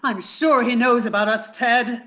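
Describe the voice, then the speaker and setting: A woman's voice: a little high, steady tone, unhurried, fairly bright tone. A woman playing an anxious, scheming adulterous wife in an old-time radio comedy mystery. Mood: worried, urgent.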